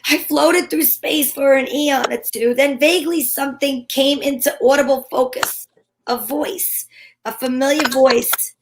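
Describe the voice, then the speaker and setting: Woman acting a plucky wisecracking girl detective, high voice, old radio show style, noisy recording. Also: steady tone, measured pace. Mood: dramatic, suspenseful. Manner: dramatic storytelling. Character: plucky wisecracking female private eye Candy. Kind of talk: old-time radio detective acting, first-person narration.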